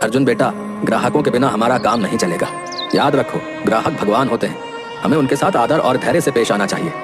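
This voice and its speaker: A man voicing an elderly, kindly biryani seller who talks slowly like a father, in a Hindi cartoon. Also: medium pitch, lightly acted. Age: older. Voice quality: slow, gentle, fatherly. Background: background music.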